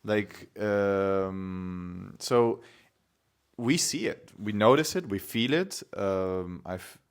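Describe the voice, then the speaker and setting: A young man from Italy, talking in a relaxed, thoughtful way with many fillers as a podcast guest. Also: fairly low pitch, extremely slow, smooth. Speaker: podcast guest, climate activist. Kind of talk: casual conversation.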